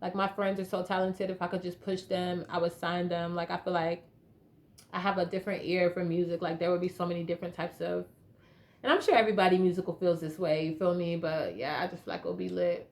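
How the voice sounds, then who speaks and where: A woman's voice: on the low side, steady tone, fairly quick, darkish tone. A young woman from North Carolina, a guest rapper chatting casually in slang with lots of "you feel me". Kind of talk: casual interview chat.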